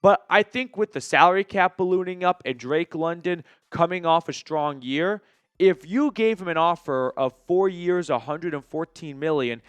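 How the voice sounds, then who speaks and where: American male sports host, slightly high smooth voice, opinionated and conversational, close dry sound. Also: no room sound. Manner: opinionated, argues point by point, emphatic. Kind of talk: conversational sports commentary to viewers.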